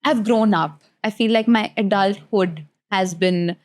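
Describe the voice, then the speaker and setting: A woman's voice: mid-range voice, unhurried. Woman in her twenties chatting. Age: 20s. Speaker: talk show guest. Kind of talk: casual chat.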